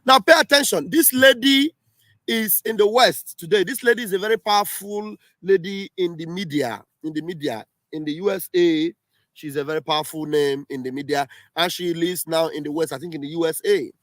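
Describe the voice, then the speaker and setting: A man's voice: on the high side, fairly quick, a bit bright, no echo. A man hosting a casual livestream, emphatic and repeating himself often. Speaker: livestream host. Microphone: home livestream setup.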